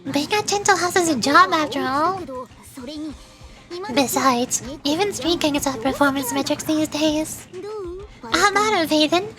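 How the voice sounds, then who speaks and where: A woman's voice: high voice, a little slow, long pauses. A woman voicing a perky, scheming young cat girl mercenary, chatty and cajoling, reading game dialogue. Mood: earnest. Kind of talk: video game dialogue read aloud. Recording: loud music behind the voice. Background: game music.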